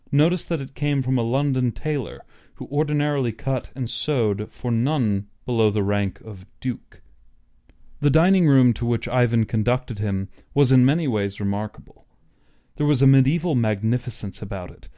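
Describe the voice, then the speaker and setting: A male narrator reads literary fiction aloud. His voice is dark and mellow, sitting at an average pitch. The delivery is scripted storytelling for an audiobook.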